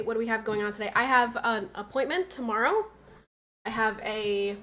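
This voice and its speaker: A woman's voice: a little high, sharp tone. A young woman streamer chatting casually, her voice nasal and stuffy from a cold, rambling through stories with lots of fillers. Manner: rambling, filler-heavy storytelling. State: has a cold, stuffy sinuses. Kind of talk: casual livestream chat.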